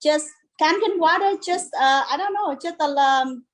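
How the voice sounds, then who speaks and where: High-voiced Vietnamese-accented woman, chatting informally. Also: normal speed. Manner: chatty, informal, non-native grammar. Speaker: guest, home business owner. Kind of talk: casual interview chat.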